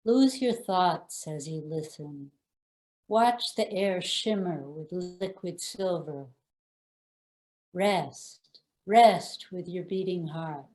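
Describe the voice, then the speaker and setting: Slow, lowish-voiced woman reciting poetry. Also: darkish tone. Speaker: poet.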